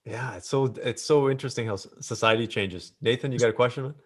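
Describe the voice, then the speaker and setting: A man hosts a relaxed interview, encouraging his guest with open questions. His voice sits at an ordinary pitch. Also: fast talker. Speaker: interview host. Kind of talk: casual interview.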